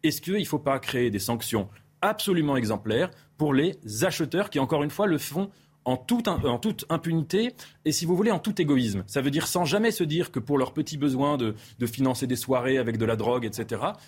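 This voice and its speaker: Emphatic husky man arguing on a panel. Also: mid-range voice, expressive, brisk. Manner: emphatic, with many restarts and hesitations. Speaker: panel guest. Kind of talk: studio debate.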